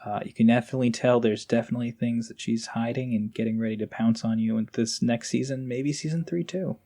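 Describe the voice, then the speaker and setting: Man chatting casually, mid-pitched voice. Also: steady tone, quick pace, warm tone. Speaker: voice actor guest on podcast. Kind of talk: casual chat.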